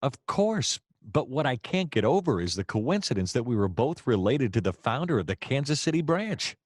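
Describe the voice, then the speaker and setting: An actor playing a wry, gallant private detective who narrates a noir radio play in dramatic, formal phrasing. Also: male voice, mid-range voice, big pitch swings, normal speed, no echo, clean studio sound. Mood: earnest, gallant.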